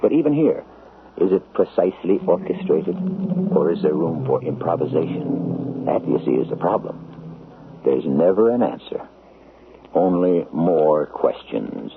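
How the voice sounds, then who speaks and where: Gravelly, very deep radio drama host. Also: male voice, very animated, noisy background. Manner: measured, philosophical, deliberate. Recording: tinny old radio broadcast with music.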